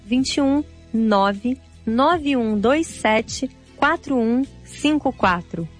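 A woman reading a church contact announcement in a calm, clear manner. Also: on the high side, drawn-out words, faint music behind. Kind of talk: slow promo read.

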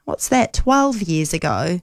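A woman's voice: on the low side, sing-song, takes it slowly, gravelly, no room sound, clean studio sound. Chatty New Zealand woman radio host. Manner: chatty and agreeable, laughs easily. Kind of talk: casual radio chat with callers. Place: radio studio.

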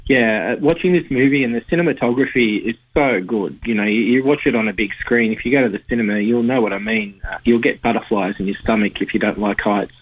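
A man's voice: on the low side, a little fast, few pauses, breathy edge, phone-quality sound. A man with an Australian accent and a sore throat, chatting casually as a radio film reviewer, rambling with fillers. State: sore throat, slightly hoarse.

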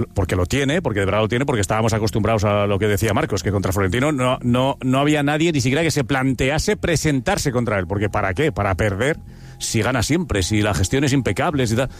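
A man hosting a live football panel, conversational and circling back to repeat his points. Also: fairly low pitch. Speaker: host of a sports talk show.

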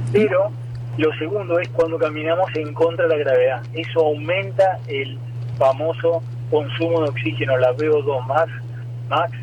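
Argentine man explaining, slightly high, lo-fi sound. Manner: didactic, enumerating points. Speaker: doctor, guest expert. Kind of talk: explanatory interview answers. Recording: dull lo-fi remote link, faint noise.

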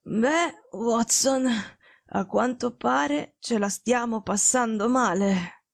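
A woman reading an audiobook voices a gravely ill man who gives weak, rasping, slow but commanding orders. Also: fairly high pitch, lively intonation, no room sound, studio quality, soft background music. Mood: weak imperious. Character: gravely ill, imperious man detective, feverish.

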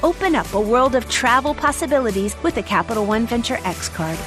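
Female commercial announcer, high-pitched scripted read over clear background music. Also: animated, normal speed.

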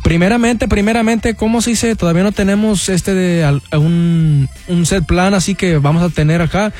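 A young singer from Houston with Mexican roots, chatting in an interview and filling pauses with "este" and "¿cómo se dice?". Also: male voice, high-pitched, a little mellow, soft background music. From Houston, Texas, with Mexican roots. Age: young adult. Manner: hesitant, many fillers like "este, de" and "¿cómo se dice?". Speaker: singer guest. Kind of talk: casual interview.

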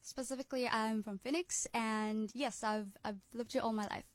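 Radio guest: bright young woman with an American-sounding accent, cheerful and chatty, slightly high, dry close mic. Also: fairly even intonation, no echo. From South Africa, Durban. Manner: cheerful, laughs often, quick asides. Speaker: guest musician. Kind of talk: radio interview.

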